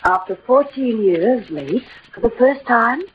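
A woman's voice: fairly high pitch, animated, a little slow. An actress playing a devoted, mournful woman living in a convent, with earnest, theatrical old radio drama delivery. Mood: gently teasing. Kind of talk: 1940s-50s radio drama acting. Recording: muffled, noisy old radio recording.

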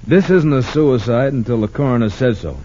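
An actor playing a blunt, dogged American police lieutenant in old-time radio drama style, matter-of-fact and clipped. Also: male voice, mid-range voice, lively intonation, fairly quick, mellow, natural voice. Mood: firm. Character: blunt, dogged male police lieutenant, sure of his theory. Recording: muffled 1940s-50s radio recording.

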